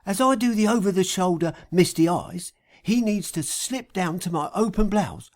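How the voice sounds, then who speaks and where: A man's voice: sits high, animated, moderate pace, a little mellow, pitched above the performer's own voice. A man voicing a teasing, bossy, flirtatious woman with a purring, coquettish delivery in an audiobook. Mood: confident, flirty. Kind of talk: acted audiobook dialogue.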